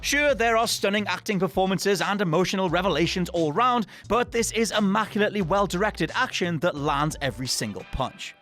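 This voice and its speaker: A man's voice: sits high, expressive, a little fast, few pauses, a bit bright. A man presenting a scripted countdown list as a narrator, with an emphatic, dramatic delivery. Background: music under the voice.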